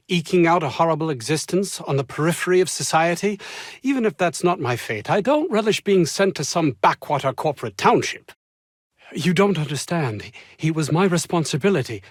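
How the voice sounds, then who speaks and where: A man's voice: a little high, no echo, studio quality. An actor playing a pompous, anxious, self-important male research scientist in a video game, speaking in wordy, formal phrases with flustered hesitations. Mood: indignant, anxious. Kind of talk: video game character dialogue.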